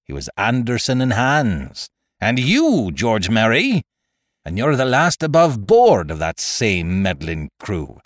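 A man's voice: medium pitch, no room sound, clean studio sound, pitched above the performer's own voice. A man voicing a sly, commanding pirate sea cook in a storybook reading, with rustic sailor speech, contemptuous and menacing. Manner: rustic old-time sailor speech with theatrical emphasis. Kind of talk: dramatised audiobook reading of a classic adventure story.

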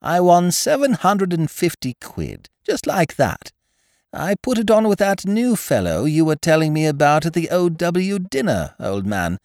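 A man voicing an amiable, dim young gentleman in an audiobook, bleating and chummy, full of old-fashioned British slang. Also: on the high side, very animated, measured pace, no echo, clean studio sound, voice pushed up. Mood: cheerful, chatty. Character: amiable, dim upper-class young gentleman, easily pleased.

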